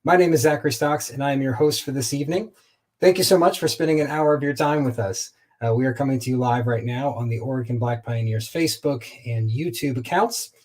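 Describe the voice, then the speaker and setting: A man with a mid-pitched voice hosts a live online program. He addresses the viewers directly and explains in a clear, presenter-like way. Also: a little fast, darkish tone. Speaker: host.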